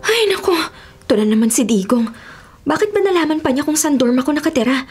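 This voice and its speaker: A woman's voice: high-pitched, lively intonation, fast, over music. An actress playing a frightened, evasive young female college student in a Filipino radio horror drama. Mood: anxious, reluctant. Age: young adult.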